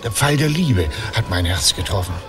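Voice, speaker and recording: An actor playing a sentimental aging Greek hero in a radio play, with a hesitant, poetic, declamatory delivery. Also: male voice, on the low side, lively intonation, normal speed, fairly bright tone, soft background music. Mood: rapt, wistful. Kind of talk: theatrical German radio drama acting.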